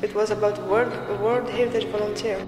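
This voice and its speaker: A woman's voice: mid-range voice, little pitch movement, fairly quick, over music. A woman from Algeria, a volunteer, giving fluent, reflective interview answers. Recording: roomy echo.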